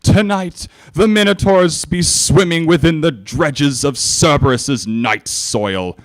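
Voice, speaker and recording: Booming regal pony princess, a man in falsetto. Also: takes it slowly, no echo. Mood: rowdy. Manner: loud, shocked outbursts. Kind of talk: live comedic dramatic reading.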